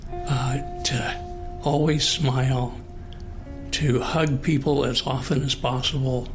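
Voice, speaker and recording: An American man in his late sixties speaking in a halting, thoughtful, earnest way in a personal conversation. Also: medium pitch. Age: 60s. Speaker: participant in a recorded one-on-one conversation.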